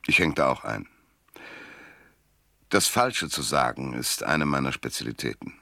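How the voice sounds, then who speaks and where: A man's voice: extremely low pitch, creaky, sharp tone, no room sound, full character voice. An actor playing a world-weary male private detective in a German hardboiled radio drama, dry, laconic and self-mocking.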